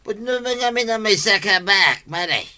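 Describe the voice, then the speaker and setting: Man playing a very sharp, teasing village drunk. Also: sits high, animated, normal speed, smooth, heavily acted. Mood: tipsy mocking. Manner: mocking, rambling, name-calling. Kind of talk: comedy radio drama acting.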